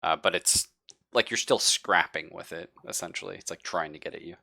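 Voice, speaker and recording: Man, low gravelly voice, bright edge, tabletop game master, casual halting improvised narration, informal. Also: dry recording, studio quality.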